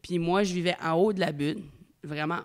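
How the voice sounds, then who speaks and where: A woman with a light Acadian French accent spins a long, rambling anecdote in casual slang. Her telling is animated and loose, like a comedian guest chatting on a podcast. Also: lowish voice, moderate pace.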